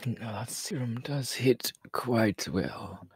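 A man playing a sly, teasing, menacing villain in an ASMR audio roleplay, breathy and often slipping into whispers, close on the microphone. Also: mid-range voice, expressive, dry recording, heavily acted. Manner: slow, drawn-out, teasing. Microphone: close microphone, dry.

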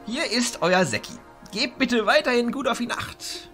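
A man playing a stern, formal archchancellor of mages in a fantasy audio drama, speaking in a commanding, deliberate way. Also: very high-pitched, lively intonation, sharp tone, faint music behind, full character voice. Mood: solemn, cautioning. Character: stern authoritative male archchancellor of illusionist mages.

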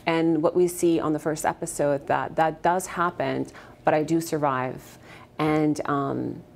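Low-voiced woman telling her story earnestly. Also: steady tone, a little slow, gravelly, slight background noise. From Afghanistan. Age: 30s. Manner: earnest, fluent storytelling with soft fillers. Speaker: actress guest. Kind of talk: morning TV interview. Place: TV studio set, indoors.

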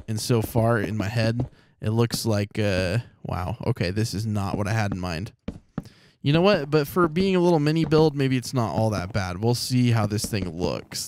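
Rambling, slightly hoarse male game commentator. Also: medium pitch, background noise. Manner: rambling, self-correcting, chatty. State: slightly hoarse from long recording. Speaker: Let's Play creator. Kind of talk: casual gameplay commentary.

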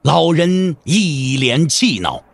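A man's voice: fairly high pitch, big pitch swings, slow pace, gravelly, husky. A man giving dramatic scripted narration for a martial arts audio drama. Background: background music.

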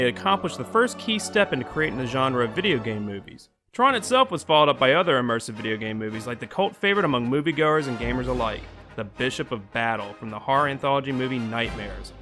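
A young man delivering a scripted video essay in a wordy, articulate, opinionated way as host and critic. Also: medium pitch. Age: young adult.

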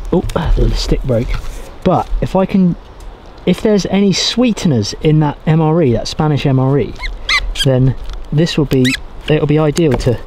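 A man in his thirties, outdoors in a pine forest, explaining how he pitches a shelter and gathers fire materials as he works, often restarting his sentences. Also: a little mellow, a little hiss or hum, poor audio. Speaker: bushcraft vlogger. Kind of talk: casual outdoor how-to narration.

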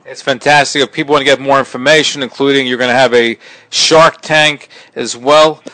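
A man interviewing a guest, asking his questions quickly and briskly. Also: medium pitch, steady tone, sharp tone, dry recording, low-quality recording.

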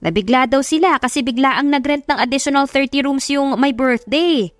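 A woman voicing a friendly, brisk older businesswoman in an audio drama, chatty and practical. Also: high-pitched, dry recording, studio quality, faint music behind, pitched above the performer's own voice, close to an everyday voice. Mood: friendly, businesslike. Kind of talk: Tagalog audio drama acting.

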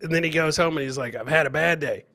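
A man's voice: on the high side, fast talker, bright, forceful tone, no room sound. A middle-aged man hosting a live comedy show, casually commenting on clips and chatting with viewers, joking and repeating his phrases. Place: indoor home studio, webcam livestream.

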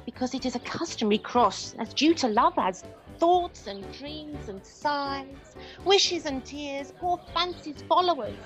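A woman's voice: high-pitched, unhurried, a bit bright, soft background music. A woman playing a devoted, earnest young woman in love, speaking heartfelt theatrical verse in a Shakespearean radio play. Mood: sorrowful, earnest.

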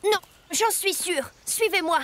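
High-voiced pedantic cartoon kid. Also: female voice, measured pace. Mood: self-assured. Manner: pedantic, precise. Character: brainy, pedantic, self-assured schoolchild in a cartoon.

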